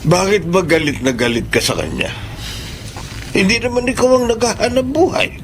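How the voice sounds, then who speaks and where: An older man playing an elderly father who gently scolds his grown daughter in a Tagalog radio drama, expressive and creaky. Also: sits high, normal speed. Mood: admonishing. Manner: admonishing, expressive. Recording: noisy background ambience.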